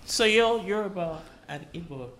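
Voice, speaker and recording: A woman co-hosting an interview, who murmurs agreement often and asks quick follow-up questions. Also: on the low side, animated, a crowd in the background, rough recording. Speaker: co-host. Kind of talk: casual interview chat.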